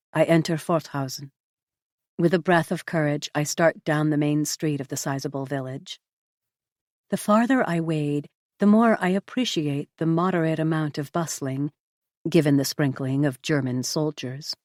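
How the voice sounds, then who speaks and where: A woman with a low voice reads a novel aloud in the first person, her delivery measured and even. The sound is close and dry, with no room around it. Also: no echo. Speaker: audiobook narrator.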